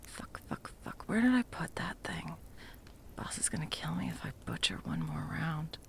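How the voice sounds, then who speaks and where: A woman's voice: low-pitched, lively intonation, normal speed, vocal fry, fairly bright tone, full character voice. A woman playing a frazzled, sarcastic, foul-mouthed Christmas delivery elf in a whimsical comedic ASMR roleplay, muttering and often dropping to whispers. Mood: flustered muttering.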